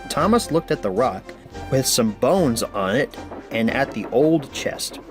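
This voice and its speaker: A man narrating a children's storybook aloud with deliberate phrasing. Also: medium pitch, animated, faint music behind.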